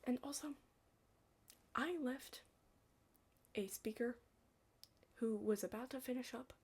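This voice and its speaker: A young woman chatting casually in an ASMR study roleplay, rambling and hesitant, hushed and slipping into whispers. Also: a little high, slow, slightly rough. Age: young adult. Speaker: ASMR creator playing a study partner.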